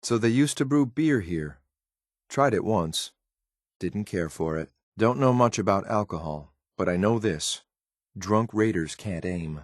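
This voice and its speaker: A man's voice: fairly low pitch, expressive, a little slow, no room sound, clean studio sound. A man voicing a stern, dutiful synth soldier companion in a video game, speaking in a clipped, matter-of-fact military way with some disdain. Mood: dry, unimpressed.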